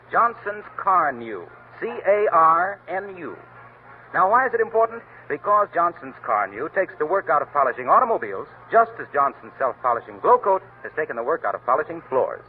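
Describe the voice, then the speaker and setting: Male radio announcer, salesman-like pitch, fairly high voice; phone-thin vintage sound. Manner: emphatic, salesman-like. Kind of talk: scripted commercial and show announcing. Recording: tinny lo-fi old radio broadcast, faint hiss.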